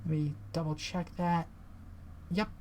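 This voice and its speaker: A woman with a tired voice, chatting casually and swearing now and then as she reads on-screen text and walks through software at her computer. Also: deep voice, little pitch movement, unhurried, a little hiss or hum. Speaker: tech video creator.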